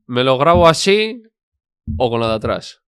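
Un hombre que presenta un pódcast y entrevista de manera informal, con preguntas breves y curiosas. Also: male voice, medium pitch, very animated, normal speed, clear, resonant tone, dry recording, clean studio sound. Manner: curious, asks short follow-up questions. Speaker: podcast host. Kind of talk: casual interview.

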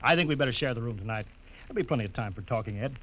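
An actor playing a hearty, nostalgic middle-aged rocket captain in an old radio drama, with an eager, folksy manner. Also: male voice, medium pitch, animated, racing, a bit bright. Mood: friendly, firm. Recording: noisy vintage radio recording.

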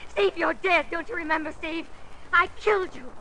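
An actress playing an unhinged, haunted murderess in an old radio melodrama, delirious and terrified. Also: female voice, very high voice, sharp tone, full character voice. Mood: hysterical dread. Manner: melodramatic, repetitive, drawn-out delivery. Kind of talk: 1950s American radio drama acting. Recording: noisy old radio recording.

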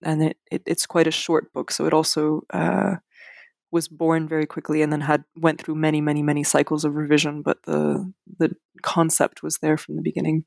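A woman's voice: low-pitched, flat delivery, normal speed, creaky, a little mellow, dry recording, studio quality. A woman author speaking in an interview, hesitant, with many fillers and restarts. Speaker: author guest. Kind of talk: casual interview.

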